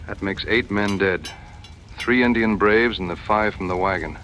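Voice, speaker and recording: A seasoned frontier hunter, acted by a man in an audio drama. His voice sits on the low side with a clear forward edge. He lays things out calmly and with determination. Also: muffled recording, a little hiss or hum, lightly acted. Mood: grave, resolute. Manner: measured, plain-spoken, determined.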